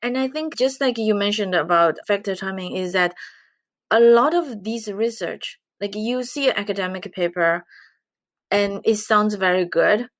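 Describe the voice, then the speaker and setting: A woman from China who speaks English, giving measured, earnest answers as an interview guest. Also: medium pitch, dry recording. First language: Chinese. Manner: measured, earnest explaining with light laughs. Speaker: guest, investment researcher.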